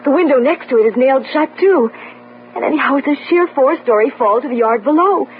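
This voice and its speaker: A woman's voice: sits high, animated, a little fast. A woman playing a young, emotional French sweetheart in a melodramatic old-time radio drama. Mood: anxious. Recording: narrow-band old radio, faint noise.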